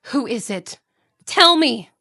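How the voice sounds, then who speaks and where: A woman's voice: high voice, lively intonation, slow pace, vocal fry, sharp tone, slightly rough, dry recording, studio quality, full character voice. A woman playing a cruel, jealous evil queen and stepmother in a fantasy audio roleplay, with an imperious, menacing, theatrical delivery. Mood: furious disbelief.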